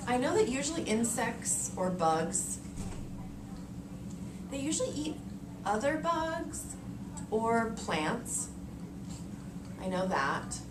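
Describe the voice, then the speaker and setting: A woman's voice: on the high side, sing-song, takes it slowly, long pauses. A woman in her thirties with an American accent, teaching young children in a read-aloud lesson, thinking aloud and checking in with short questions. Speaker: elementary school teacher. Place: elementary classroom, indoors. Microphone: camera a few meters away. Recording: roomy, distant mic with clear background noise.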